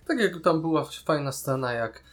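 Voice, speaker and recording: A man's voice: fairly high pitch, lively intonation, moderate pace. A man talking casually as a podcast co-host, giving his opinions in an informal way. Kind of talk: casual review chat.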